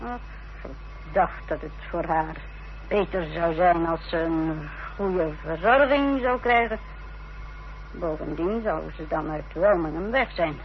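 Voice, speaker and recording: Radio drama role: defiant, haughty middle-aged mother, low creaky edges, unhurried theatrical phrasing, muffled vintage recording. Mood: haughty, self-righteous. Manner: haughty, theatrical, deliberate delivery. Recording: dull, noisy old radio recording.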